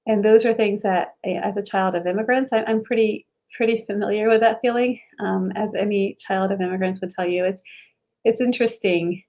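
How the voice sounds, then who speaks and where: A woman's voice: mid-range voice, fairly even intonation, fairly quick, a little mellow. A woman from Ohio, a school board candidate, answering interview questions in an earnest, reflective way with many fillers and restarts, over a video call. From Ohio, USA.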